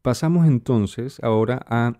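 A man's voice: mid-range voice, unhurried, warm tone. A man in his thirties from Ecuador hosting a podcast interview, friendly, with short prompts and easy laughter, recorded in a small studio. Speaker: podcast host. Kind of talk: casual interview. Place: dim small studio room, indoors. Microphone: studio microphone close up.

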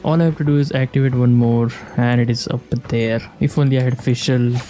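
Male gamer, casual reactive commentary, mid-pitched and mellow, thinking out loud over game music. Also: fairly even intonation, fairly quick. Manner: musing aloud, short reactive remarks. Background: video game music.

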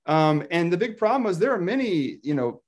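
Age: 30s. Sex: man. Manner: animated, laughing, somewhat high voice. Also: a little fast. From Texas, United States. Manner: animated, laughs often, many fillers and restarts. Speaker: guest scholar. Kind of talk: video call interview. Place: indoor room with plain wall. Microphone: video call webcam.

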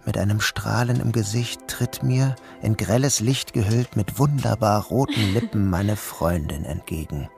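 An actor playing a troubled, dreamy grown son who narrates his surreal day in a measured, introspective way. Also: fairly low pitch, creaky, darkish tone. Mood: brooding, introspective. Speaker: narrator and protagonist. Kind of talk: first-person literary radio play narration. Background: music.

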